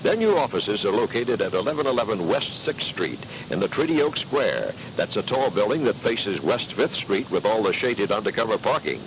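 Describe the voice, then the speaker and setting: A man's voice: fairly low pitch, sing-song, moderate pace, hardly any pauses. An American man reading a scripted local radio commercial as an announcer. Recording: noisy taped radio broadcast.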